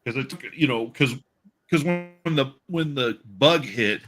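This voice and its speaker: A man hosting a casual livestream chat on a video call, talking in a halting, rambling and jokey way. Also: mid-range voice, animated, over music. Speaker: livestream host. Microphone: video call stream.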